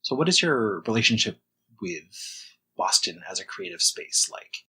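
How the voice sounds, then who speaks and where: A man hosting a casual podcast interview, speaking in halting, thoughtful phrases. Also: on the low side, very animated, pushed and bright, breathy edge, low-quality recording.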